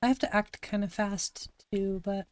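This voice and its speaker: A woman from Montana with a gravelly voice, chatting casually to her live-stream audience while she plays a game, thinking aloud and answering chat. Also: mid-range voice, steady tone, moderate pace, a little mellow. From Montana, USA. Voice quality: gravelly, slightly raspy.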